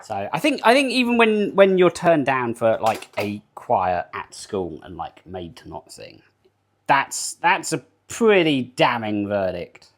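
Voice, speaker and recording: A man's voice: medium pitch, sing-song, unhurried. British male streamer, cozy rambling chat with chat, bouncy up-and-down delivery, frequent laughs, home kitchen. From United Kingdom. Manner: rambling, chatty, laughs often, answers chat. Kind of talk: casual live-stream chat. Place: home kitchen while baking.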